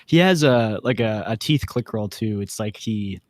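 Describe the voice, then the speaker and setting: A man's voice: fairly low pitch, animated, normal speed, background noise. A young man co-hosting a podcast in an informal, joking, conversational way. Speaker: podcast co-host. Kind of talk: casual chat.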